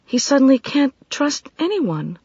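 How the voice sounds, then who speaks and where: A woman's voice: high voice, lively intonation, normal speed, no echo, voice pushed up. A woman reading an audiobook, voicing an anxious young woman who blurts everything out in a rush, pleading and upset. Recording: dry, slightly dull studio recording.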